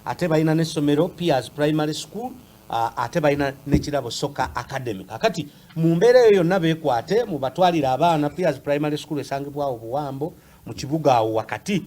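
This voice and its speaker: A man's voice: on the high side, expressive, fast talker, darkish tone, slight background noise. A man with a Ugandan accent presenting a conversational promotional announcement.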